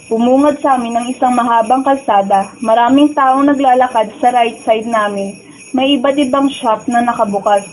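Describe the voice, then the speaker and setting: A woman's voice: on the high side, a little mellow, a little hiss or hum, natural voice. A woman with a Filipino accent playing a wistful, lovestruck young student who narrates her dreams in a radio drama. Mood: reflective. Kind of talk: first-person radio drama narration and dialogue. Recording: dull lo-fi audio, music bed. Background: background music.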